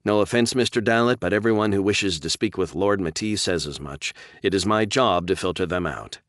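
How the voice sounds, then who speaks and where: A man's voice: deep voice, brisk, fairly bright tone, dry recording, clean studio sound, voice pushed up. A man voicing a woman receptionist who is courteous but firm, in an audiobook. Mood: polite firm. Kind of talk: audiobook character voice.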